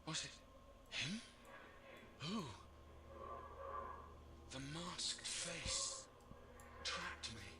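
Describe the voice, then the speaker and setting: Under loud noise, a tormented dead monk's soul from a video game begs in broken fragments. The words come extremely slowly and halting, in a fairly high voice with wide swoops. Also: male voice, animated. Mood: anguished. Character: tormented trapped soul of a slain monk. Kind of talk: scripted video game character acting. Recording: loud noise over faint voice.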